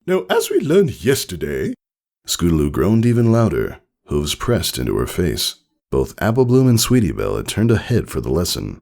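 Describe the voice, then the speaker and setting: A man reading a scripted story aloud as an expressive narrator. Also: very deep voice, vocal fry. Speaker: fan fiction reader.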